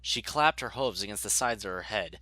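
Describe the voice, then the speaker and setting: A man's voice: on the low side, animated, moderate pace, pushed and bright, no room sound. A man narrating a scripted story as a dramatic audiobook reader.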